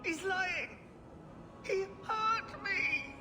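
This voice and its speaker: A woman's voice: very high voice, drawn-out words, over music, full character voice. An actress playing a terrified female captive in a video game, crying out in panic for rescue. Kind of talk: dramatic video game acting.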